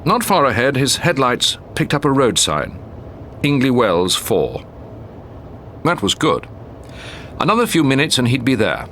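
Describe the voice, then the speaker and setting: A British man reading a short story as an audiobook narrator, in a measured, expressive storytelling manner. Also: medium pitch, a little hiss or hum.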